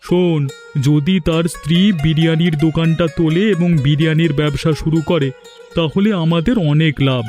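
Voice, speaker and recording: A man's voice: a little high, steady tone, moderate pace, a little mellow, soft background music, lightly acted. A man playing a sly, conspiratorial village workman in a cartoon, explaining his schemes. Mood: scheming. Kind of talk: cartoon voice acting.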